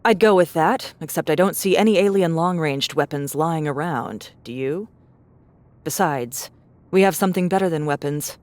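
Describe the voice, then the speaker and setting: A woman's voice: sits low, normal speed, darkish tone, lightly acted. A woman playing a pragmatic, self-assured young survivor in an audiobook drama, confident and matter-of-fact. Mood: confident, practical.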